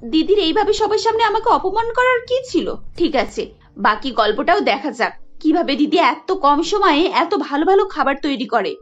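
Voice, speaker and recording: A woman's voice: high-pitched, expressive, moderate pace, muffled recording, soft background music. A woman voicing a suspicious, determined young sister-in-law in a family cartoon. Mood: hurt. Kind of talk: cartoon character voice.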